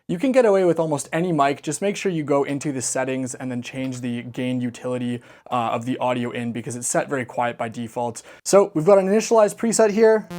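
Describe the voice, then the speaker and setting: A man with a slightly high voice talks through music gear in a casual way. He is chatty and enthusiastic, close on a studio mic, with music playing behind him. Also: dark tone. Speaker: host of synth demo channel. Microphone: close studio condenser mic.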